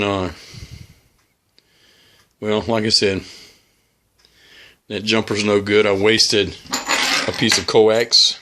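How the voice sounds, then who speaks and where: A man with a fairly low voice and a bright, forceful edge talks through a hands-on hobby demonstration. His delivery is casual and halting, with plain-spoken words of caution and practical advice. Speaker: amateur radio hobbyist presenting a how-to video.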